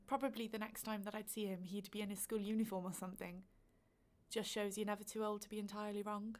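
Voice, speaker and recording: An actress as an older woman neighbour, chatty and a little nosy, yet kindly. She tells her tale in a gossipy, anecdotal way, as a radio play monologue, in a mid-pitched voice. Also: flat delivery, quick pace, lightly acted. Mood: confiding.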